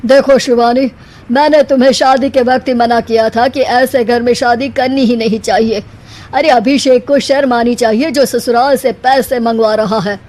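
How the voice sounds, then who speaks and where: A woman's voice: on the high side, fairly even intonation, normal speed, a little mellow, natural voice. A woman voicing a stern, disapproving older father in a family story. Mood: stern disapproval.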